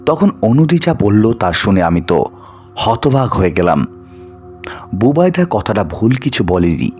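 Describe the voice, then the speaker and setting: Low-pitched, animated male storyteller. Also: a little slow, soft background music. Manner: expressive, acts out the dialogue of characters. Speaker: storyteller and narrator of ghost stories. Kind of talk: dramatized scripted narration of a ghost story.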